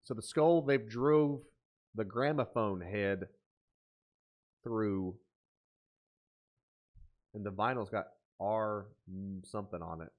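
A man in his thirties giving off-the-cuff reaction commentary, thinking aloud in an excitable but musing way. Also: on the low side, slow pace, pauses often. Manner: excitable, exclaiming, dropping to musing asides. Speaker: host of a reaction video. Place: small indoor room at a desk.

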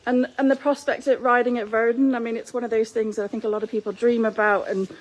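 A woman's voice: a little high, little pitch movement, quick pace, a little hiss or hum, poor audio. A woman interviewer asking long, enthusiastic, leading questions in a chatty way outdoors.

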